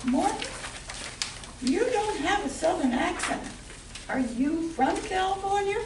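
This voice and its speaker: A woman plays a forward, curious barmaid in an amateur radio play. Her voice is high, and it comes from some distance in an echoey room with faint hiss. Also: animated, measured pace.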